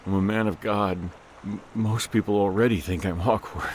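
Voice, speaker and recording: A man's voice: on the low side, fairly even intonation, normal speed, a little mellow, a little hiss or hum, lightly acted. A man playing an anxious, guilt-ridden man of God in an audio drama, hesitant and stammering. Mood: reluctant, uneasy.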